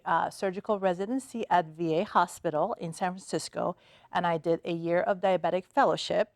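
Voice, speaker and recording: A woman's voice: fairly low pitch, no room sound. Middle-aged woman, dry studio sound. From Iran. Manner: measured, many "uh" and "um" pauses. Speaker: podiatrist guest. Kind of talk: TV studio interview. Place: TV studio set.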